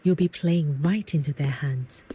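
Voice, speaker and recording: A woman playing a composed, shrewd proprietress in a video game, with a husky, mellow voice and a poised, sage manner. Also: sits low, unhurried, slight background noise. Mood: knowing. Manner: poised, sage, gently teasing. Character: composed, worldly, shrewd adult female proprietress. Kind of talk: scripted video game acting.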